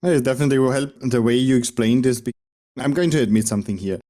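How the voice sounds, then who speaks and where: A man's voice: mid-range voice, fast talker, warm tone. A podcast host, a man with an Austrian German accent who speaks English as a second language, chatting reflectively with his guest. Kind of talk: conversational interview.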